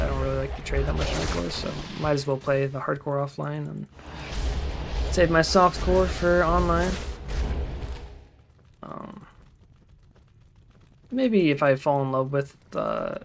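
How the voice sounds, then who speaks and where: Gravelly-voiced man from Utah, rambling. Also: medium pitch. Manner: rambling, lots of fillers and restarts. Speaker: game streamer. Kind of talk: casual chat with viewers while gaming. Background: game music.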